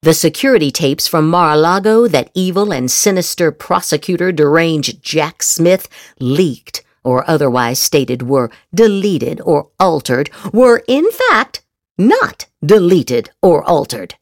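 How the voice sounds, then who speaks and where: A woman's voice: sits low, very animated, unhurried, no echo, clean studio sound. A woman podcast host doing a theatrical dramatic reading of social media posts, with exaggerated, emphatic inflection.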